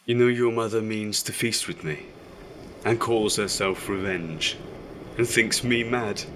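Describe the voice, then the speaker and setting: A man acts out a wry, bookish son in an audio drama. He speaks in a low register at a measured, slow pace with a theatrical edge. A clear room hum sits under the voice. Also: natural voice. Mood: menacing, theatrical.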